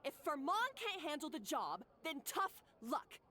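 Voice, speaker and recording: A woman playing an imperious, hot-tempered young head chef in a video game, haughty, sharp and commanding. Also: high voice, very animated, a little slow, full character voice. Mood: haughty, dismissive.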